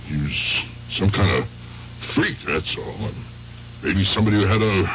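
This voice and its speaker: Gruff defensive slangy man, lowish forceful voice, radio drama. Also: moderate pace. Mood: defensive, insistent. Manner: rough, slangy, dismissive. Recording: dull, noisy old radio recording.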